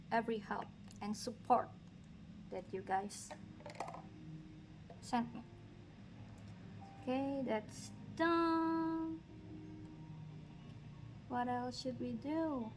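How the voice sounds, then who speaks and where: A woman from Indonesia, speaking English as a second language, chatting slowly and musingly as she colors on a livestream. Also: fairly high pitch, noisy background. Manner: slow, musing, talks while working, light laughs. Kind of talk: relaxed coloring livestream chat. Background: background music.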